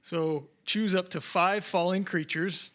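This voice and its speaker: A man's voice: high-pitched, fairly even intonation, slow pace, fairly bright tone. A man playing a tabletop game, casually describing what his character does and reading out rules.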